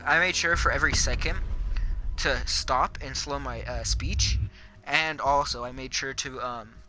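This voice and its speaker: A young man chatting casually while gaming, telling stories in a halting, rambling, sweary way. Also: medium pitch, sharp tone, poor audio. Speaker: player chatting in a multiplayer game. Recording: music behind the voice. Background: music.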